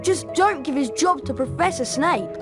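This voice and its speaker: Cheeky earnest boy wizard for a game, swoopy high voice, music behind. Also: expressive, moderate pace. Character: earnest, cheeky young schoolboy wizard hero.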